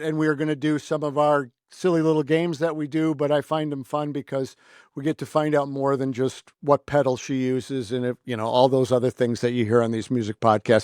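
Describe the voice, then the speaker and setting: A man's voice: on the high side, darkish tone, dry recording, studio quality. A middle-aged man hosting a casual interview in a chatty, rambling way. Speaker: podcast host.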